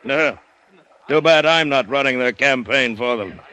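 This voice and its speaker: An actor playing a bold, stubborn plantation owner who barks orders cockily in an old radio drama. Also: male voice, medium pitch, unhurried, clear, resonant tone. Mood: grimly confident. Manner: commanding, cocky, forceful. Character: bold, stubborn male plantation owner commanding his men. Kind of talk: 1940s radio drama acting.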